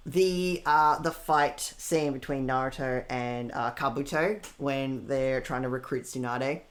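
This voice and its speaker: A woman with a strikingly low voice speaks as a guest in a casual interview. She is an amateur voice performer, talking conversationally. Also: unhurried. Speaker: amateur voice actress being interviewed.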